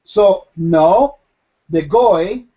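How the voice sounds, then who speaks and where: A man's voice: high voice, expressive, drawn-out words, mellow, dull audio. A man from Puerto Rico teaching Torah in a question-and-answer session, emphatic and posing questions he then answers himself. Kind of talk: Q&A Bible teaching.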